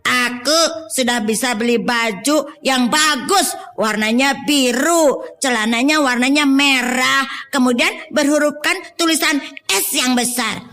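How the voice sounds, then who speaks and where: Children's audio story: lazy, teasing child, bright forceful high voice, cheeky and coaxing. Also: female voice, unhurried. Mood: cheeky, boastful. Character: lazy mischievous child who teases friends and skips school.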